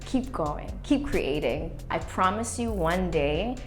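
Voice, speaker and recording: A woman's voice: on the low side, animated, slow, faint music behind. A woman in her twenties from Canada, talking in a documentary interview in an earnest, reflective, motivational way. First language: English. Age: 20s. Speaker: playwright and youth worker.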